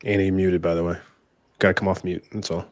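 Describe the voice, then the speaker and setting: Low-voiced man hosting a podcast panel. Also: fast talker, dry recording, clean studio sound. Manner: relaxed, easygoing questions with fillers. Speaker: podcast host. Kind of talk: casual panel moderation. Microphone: video call.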